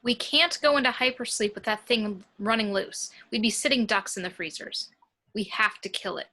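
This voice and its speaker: A woman's voice: medium pitch, normal speed, sharp tone. A woman in an amateur table read playing a resolute, practical spaceship warrant officer, firm and insistent. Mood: firm, urgent.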